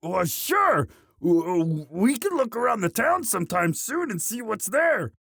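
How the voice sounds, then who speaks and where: Orc boyfriend, male performer: sheepish, devoted muscle, halting unhurried delivery, very dry close sound, romantic audio drama. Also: high-pitched, sing-song, gravelly, fairly bright tone, husky, dry recording, heavily acted. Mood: sheepish, eager. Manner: halting, sheepish, stammering with many uhs. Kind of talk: romantic roleplay audio monologue.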